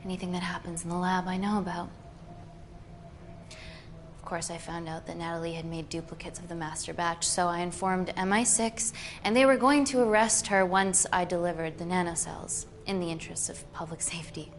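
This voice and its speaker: A woman's voice: fairly low pitch, fairly even intonation, moderate pace, close to an everyday voice. A woman playing a determined agent in a live-action thriller game. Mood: guarded, earnest. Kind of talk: film-style dramatic acting in a game. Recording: clearly noisy background. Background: ambient noise.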